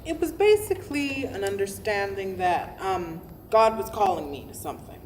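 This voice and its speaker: A woman playing an earnest female pastor reflecting in a verbatim theatre monologue, halting and thoughtful as she goes. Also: mid-range voice, lively intonation, close to an everyday voice. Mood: reflective. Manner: halting, thoughtful, self-correcting. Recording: faintly noisy live staged reading.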